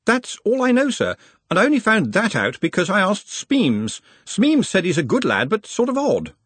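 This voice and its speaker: A man playing an anxious young clerk in a storybook who stammers politely, sitting high and lifting sharply in pitch. The voice is husky and breathy, heard close and dry. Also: huge pitch swings, normal speed, fairly bright tone, no echo, heavily acted. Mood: nervous. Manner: hesitant, stammering, deferential. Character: nervous young male academic clerk, dutiful and anxious.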